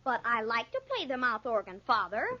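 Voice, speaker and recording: A woman's voice: fairly high pitch, expressive, brisk, sharp tone, soft background music. A woman voicing a cheeky, calculating young boy in a 1940s radio drama. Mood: dutiful. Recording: muffled, dated 1940s radio recording.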